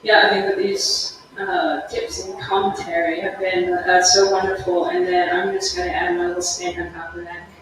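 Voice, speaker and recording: A moderator on a panel speaking in an animated, gently emphatic way. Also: medium pitch, normal speed, hardly any pauses. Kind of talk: panel discussion. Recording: distant, echoey room, background noise.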